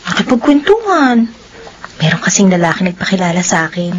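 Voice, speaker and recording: A woman's voice: on the low side, big pitch swings, fairly quick, dull audio, slight background noise. An actress playing a demure, devout young woman, guarded but candid, in a Filipino radio drama. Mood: earnest, candid.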